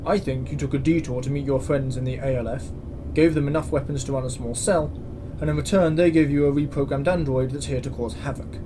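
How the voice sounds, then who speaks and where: A man's voice: medium pitch, fairly even intonation, fairly quick, lightly acted. A man playing a stern, exasperated military commandant in a science-fiction audio drama, speaking with curt authority. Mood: exasperated, accusing. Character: stern, exasperated male space station commandant. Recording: clear background ambience noise.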